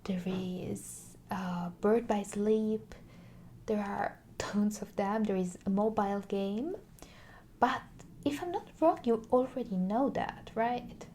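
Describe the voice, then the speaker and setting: Soft, slow-talking woman in ASMR roleplay. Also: mid-range voice, slight background noise. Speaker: ASMR creator playing a video game shop clerk.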